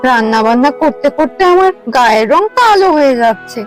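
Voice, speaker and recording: Cartoon village wife female actor: proud, quarrelsome, whiny and dramatic, high register with very animated intonation. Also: brisk, faint music behind. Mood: sulky grumbling. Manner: whiny, haughty, dramatic complaining. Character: vain, quarrelsome young village wife, proud of her beauty. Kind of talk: exaggerated cartoon dialogue.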